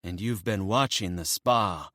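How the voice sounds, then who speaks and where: A man's voice: sits low, measured pace, bright, forceful tone, dry recording, clean studio sound. A man voicing a cold, clipped male biker. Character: cold quiet male biker, grieving husband.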